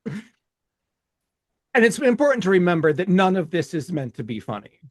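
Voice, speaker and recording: A man with a slightly high voice reads his own verse very slowly and deliberately. He speaks with many restarts when he chats. The sound is dry and close, with no room around it. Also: very animated, dry recording. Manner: slow, deliberate, with halting restarts in chat. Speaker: podcast co-host. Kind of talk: reading his own rhyming poem aloud, then casual chat.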